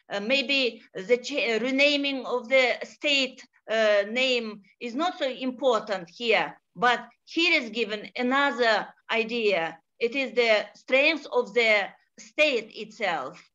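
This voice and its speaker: A woman from Kazakhstan, a native Kazakh speaker with a Kazakh accent, speaking hesitantly with many pauses and fillers on a video call. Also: fairly high pitch, animated, a bit bright. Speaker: panel speaker. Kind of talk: prepared remarks in a video call. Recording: dull lo-fi video call audio.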